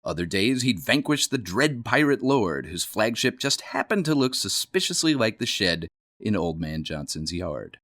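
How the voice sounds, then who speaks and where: A man reading a children's storybook aloud. He plays up the words with dramatic emphasis, his pitch leaping and dropping all through. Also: fairly bright tone. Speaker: storybook reader. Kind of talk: read-aloud storybook narration.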